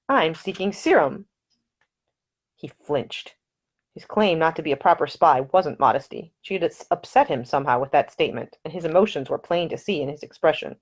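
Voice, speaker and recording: A woman author reading her own novel aloud in calm, even audiobook narration. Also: low-pitched, a little fast.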